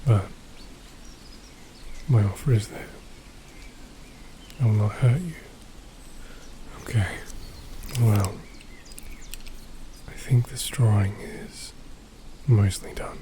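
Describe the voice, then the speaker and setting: Guy playing a gentle captor in an asmr roleplay, really slow and soft, super warm dark voice, reassuring. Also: lowish voice, a little hiss or hum. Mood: calm, reassuring. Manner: slow, soft-spoken, reassuring, pausing often. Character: gentle male captor soothing a kidnapped elf.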